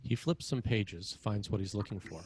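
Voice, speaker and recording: A man's voice: fairly low pitch, moderate pace, background noise. A man narrating screenplay scene headings and action in a scripted read.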